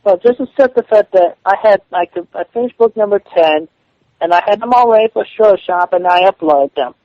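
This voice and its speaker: A man speaking defensively on a phone call, halting and repeating himself. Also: sits high, expressive, no echo. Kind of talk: phone conversation, answering challenges. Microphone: telephone call. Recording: dull lo-fi phone call recording.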